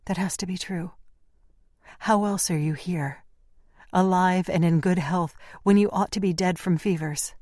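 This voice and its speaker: Man doing a frightened young woman, confused, anxious questions, raised soft voice, dry sound. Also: sits high, fairly even intonation, unhurried, dry recording, voice pushed up. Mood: fearful.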